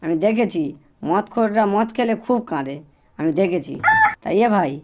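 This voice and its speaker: A man playing a grumbling, exasperated village milkman husband in a comedy cartoon, repeating phrases excitedly. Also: high voice, moderate pace, muffled recording, background noise. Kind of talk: Bengali comedy cartoon dialogue.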